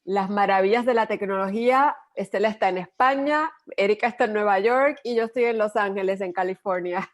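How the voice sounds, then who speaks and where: Podcast host: woman, mid pitch, easygoing interviewer.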